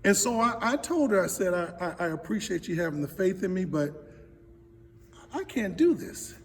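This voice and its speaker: A man who directs stage plays, telling personal stories in an interview in an earnest, animated way. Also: sits high, moderate pace, a little hiss or hum. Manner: earnest, animated storytelling with acted-out quotes. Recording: echoey hall, distant microphone.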